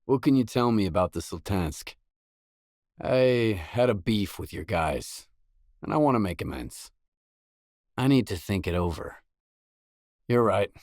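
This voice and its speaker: A man voicing a gruff, laconic video game mercenary who speaks in a guarded, matter-of-fact way. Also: fairly low pitch, expressive, a little slow, long pauses, a little mellow, no echo, studio quality. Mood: guarded, conciliatory. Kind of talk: video game dialogue lines.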